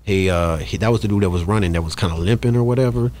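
A man's voice: sits low, fast talker, a little mellow, slight background noise. A man, chatting casually as a podcast guest in a rambling, slangy way. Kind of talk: casual chat. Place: small podcast studio in a shop, indoors. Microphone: close studio microphone on arm.

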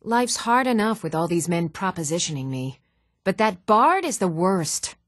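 A woman's voice: lowish voice, sing-song, moderate pace, no echo, clean studio sound. A woman playing a proud, self-reliant townswoman in a fantasy video game, assertive and scornful. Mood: scornful, confident.

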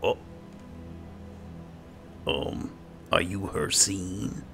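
A man's voice: deep voice, steady tone, extremely slow, fairly bright tone, faint music behind. A man giving casual roleplay commentary on a video game, muttering to himself in short bursts. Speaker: gamer, video host. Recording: clearly noisy, game sound behind.

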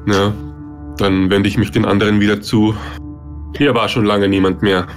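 A man's voice: fairly low pitch, level tone, normal speed, soft background music. A man chatting casually as a player in a tabletop role-playing game.

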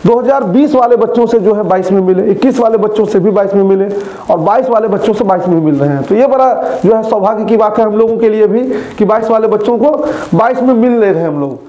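A man's voice: sits high, fast talker, mellow, roomy sound, low-quality recording. A young man, a coaching teacher, chatting casually to his students and speaking to them directly, filmed indoors in front of a screen.

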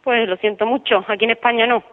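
A woman's voice: fairly high pitch, fairly even intonation, fast, no room sound. Firm receptionist woman on a muffled phone. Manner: matter-of-fact, firm. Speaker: hotel receptionist. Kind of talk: customer service phone call. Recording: muffled telephone line.

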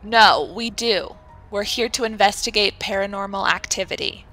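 An actress playing a determined, skeptical young investigator in an audio drama, brisk and exasperated. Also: female voice, medium pitch, bright, forceful tone, faint music behind. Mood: firm, exasperated.

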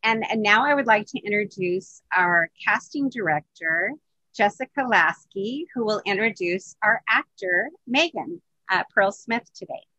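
A woman's voice: medium pitch, lively intonation, measured pace, bright, forceful tone, no room sound, low-quality recording. A woman in her sixties hosting conversationally over a video call. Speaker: host, interviewer. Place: home office, indoors. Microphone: video call computer microphone.

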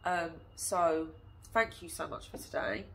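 A woman's voice: low voice, a little slow, vocal fry. A woman in her thirties with a stuffy nose from a cold, chatting directly to camera as an early years teacher, asking her viewers lots of questions. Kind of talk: casual teaching talk to camera. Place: small indoor room, seated on a chair. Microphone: phone camera at a short distance.

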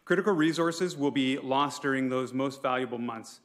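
A man's voice: mid-range voice, moderate pace, fairly bright tone. A man speaks at a public council meeting in a mid-range voice. He reads a formal prepared address as an advocate, pleading his case and now and then tripping on a word. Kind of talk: prepared speech to a city council.

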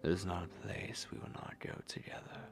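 A man playing a menacing, possessive, courtly vampire lord in an ASMR roleplay, speaking in a breathy, deliberate whisper with lingering pauses. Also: over music, natural voice. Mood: smug, possessive. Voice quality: breathy whisper with gravelly creak.

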